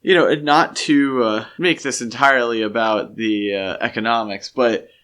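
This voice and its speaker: A man with a medium-pitched voice speaks as a podcast co-host. His delivery is casual and conversational, with an analytical bent suited to relaxed discussion. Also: normal speed, smooth.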